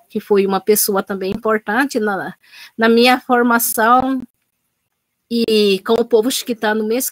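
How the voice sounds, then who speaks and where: A woman's voice: mid-range voice, no room sound. Hesitant middle-aged woman, dry sound. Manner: hesitant, many restarts and repetitions. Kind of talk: unscripted talk at an online event.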